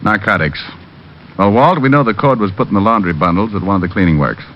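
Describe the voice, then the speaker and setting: An American actor playing a wry, quick-witted private detective in an old radio drama. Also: male voice, lowish voice, lightly acted. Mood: businesslike. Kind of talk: 1940s-50s radio drama acting and narration. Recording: dull old radio recording, faint noise.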